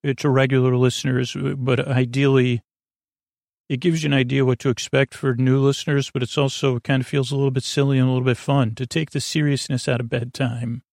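Male sleep-podcast host: creaky, soothing, softly silly, wandering off mid-sentence, very dry recording. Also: medium pitch, flat delivery, fairly quick, dry recording. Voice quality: creaky, gravelly. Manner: meandering, hesitant, self-interrupting, gently silly; soothing, lulling. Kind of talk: rambling bedtime podcast monologue.

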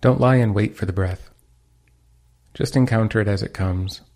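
A man leading a guided meditation, giving calm, gentle instructions with pauses. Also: lowish voice.

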